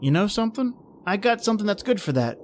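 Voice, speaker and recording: A man's voice: high-pitched, very animated, quick pace, a little hiss or hum. An actor playing an edgy, short-tempered man at a remote outpost in an old-time radio drama, with terse, reflective delivery. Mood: conciliatory. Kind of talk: old-time radio drama acting and first-person narration.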